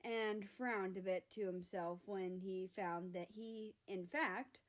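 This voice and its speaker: A woman's voice: mid-range voice, steady tone, slow pace. Woman reading a story aloud. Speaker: narrator reading own fan fiction aloud.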